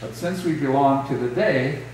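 A middle-aged man leads a church service in a slow, solemn liturgical cadence. His voice sits in a middle register. It reaches the microphone from a distance in a strongly echoing sanctuary, over a faint hum. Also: medium pitch, a little mellow. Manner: measured, solemn liturgical delivery. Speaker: pastor. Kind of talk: liturgy and scripture reading. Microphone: distant camera, later lectern mic.

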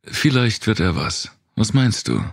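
Man voicing a tough, blunt survivor woman, gravelly. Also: lowish voice, sing-song, a little slow, dry recording, clean studio sound, voice pushed up, full character voice. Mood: probing.

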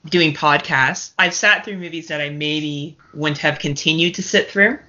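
A woman from Canada chats casually as a podcast guest. Her voice is bright and forceful, set fairly low for a woman, over slightly dull lo-fi audio. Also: moderate pace. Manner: chatty, casual. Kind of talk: casual film podcast chat.

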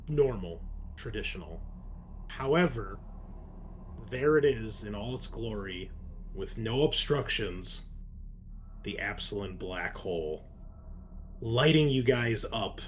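Male game master, casual and halting, pausing for weight, slight background noise. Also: mid-range voice. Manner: halting, deliberate pauses, casual swearing. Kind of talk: casual narration of a tabletop roleplaying game.

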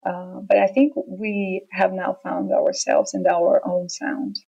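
Russian-accented woman, band interviewee, slow and measured, mellow gravelly tone. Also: mid-range voice, flat delivery, muffled recording. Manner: measured, hesitant with frequent ums. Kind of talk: casual interview answers.

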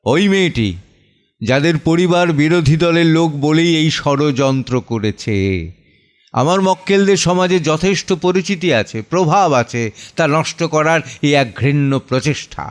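A contemptuous male defence lawyer, put on by the man narrating, argues before a judge in an audio drama. His voice is pitched up and forceful, with emphatic, declamatory courtroom phrasing. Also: lively intonation, normal speed, smooth, natural voice. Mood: scornful, insistent.

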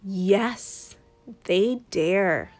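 Slow-paced woman reading a children's story. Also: mid-range voice. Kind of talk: scripted picture-book read-aloud. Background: music.

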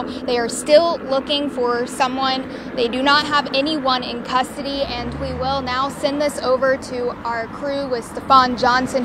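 A young woman delivers a live news report from a parking lot, speaking into a handheld mic. Her voice sits high, and a clear wash of outdoor ambience and passing cars runs under it. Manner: steady, plain reporting with some restarts. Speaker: field reporter. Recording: outdoor noise behind.

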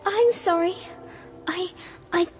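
A woman's voice: very high voice, slow, faint music behind. A female actor playing a shy, curious and playful little girl in a radio drama, hesitant and childlike. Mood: shy, apologetic.

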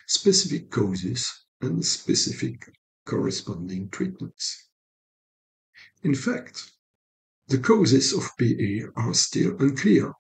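A middle-aged man with a French accent explains a clinical topic in a careful, measured way, as if lecturing over slides. His pitch rises and falls a great deal, and his voice has a gravelly edge. He sounds like he is speaking into a desk webcam at home. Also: darkish tone. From Belgium. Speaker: psychologist and sex therapist.

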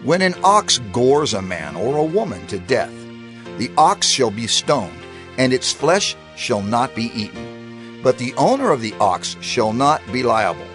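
A man reads scripture in a solemn, measured way, his pitch rising and falling freely. He slows down to stress key phrases. Faint music plays beneath his voice. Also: big pitch swings, fairly bright tone. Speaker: scripture reader. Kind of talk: dramatized scripture reading.

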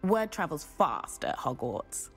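A woman's voice: low-pitched, sing-song, slow pace, full character voice. Breezy, daring British witch girl, lilting. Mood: chatty, intrigued. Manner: playful, chatty, airy. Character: breezy, thrill-seeking teenage witch girl, chatty and daring. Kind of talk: video game character dialogue. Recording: music behind the voice.

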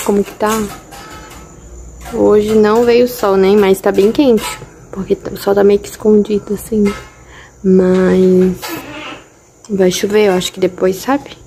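Young woman vlogging her day at home, mellow voice, camera held out at arm's length. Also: medium pitch, steady tone, measured pace, slight background noise. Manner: chatty, ends phrases with tag questions to viewers. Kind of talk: casual vlog chat to camera. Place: house interior, garage and kitchen. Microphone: handheld camera at arm's length.

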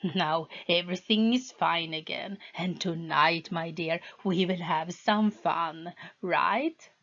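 A woman's voice: on the low side, takes it slowly, fairly bright tone, heavily acted. A woman voicing a controlling, volatile husband who talks down to his wife in a sweet-talking way, on a dull lo-fi recording. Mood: coaxing.

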